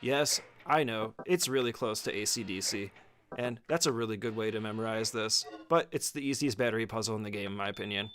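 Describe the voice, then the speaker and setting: A man's voice: on the low side, a little fast, a bit bright, a little hiss or hum. A man giving an informal, step-by-step speedrun tutorial as casual gameplay commentary, swearing freely.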